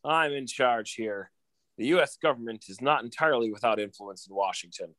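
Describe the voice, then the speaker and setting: A man's voice: medium pitch, brisk, fairly bright tone, faint music behind, lightly acted. Man as a cocky, self-important bumbling detective. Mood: breezy, self-important. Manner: breezy, pompous. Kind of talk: 1940s radio detective comedy acting.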